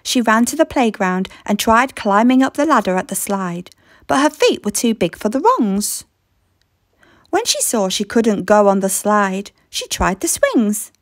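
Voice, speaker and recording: A woman reading a children's story aloud in expressive scripted narration. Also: mid-range voice, measured pace, no room sound, clean studio sound.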